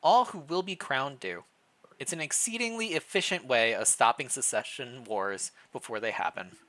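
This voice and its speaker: A man reads as a royal chamberlain woman from a storybook, formal and secretive. The delivery is measured and calm, with a bright edge to the voice. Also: mid-range voice, natural voice. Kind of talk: storybook character reading.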